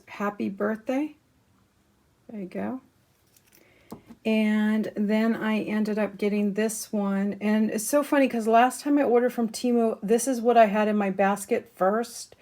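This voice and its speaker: A woman chats through her shopping haul in an easy, rambling way, with many ums and small restarts. Her voice is a little hoarse this morning and she clears her throat now and then. Her pitch sits in the usual middle range for a woman. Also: warm tone. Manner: chatty, many ums, showing items up close. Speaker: crafting channel host. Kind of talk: casual haul chat to camera.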